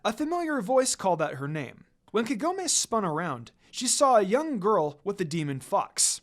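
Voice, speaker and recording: A man reading a fan fiction story aloud in an expressive narrating style. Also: sits high, moderate pace. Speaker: fan fiction reader, channel host. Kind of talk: expressive scripted story narration with casual asides. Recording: music under the voice. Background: music.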